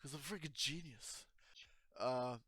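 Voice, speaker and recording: A man's voice: medium pitch, measured pace, bright, forceful tone. A man speaking casually as game master, narrating and checking in with players on a tabletop game. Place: online or laptop-recorded game session. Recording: noisy laptop recording with clicks.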